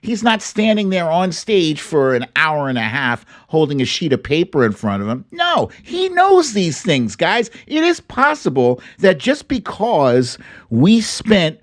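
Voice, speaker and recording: Animated, higher-voiced man ranting casually. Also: measured pace. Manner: blunt, salty swearing, slangy. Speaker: host of a political commentary livestream. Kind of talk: casual, opinionated live commentary.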